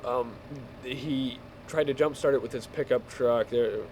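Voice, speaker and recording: A man casually telling a rambling story while playing a game, halting and repeating words as he goes. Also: mid-range voice, darkish tone, a little hiss or hum. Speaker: video game player telling stories.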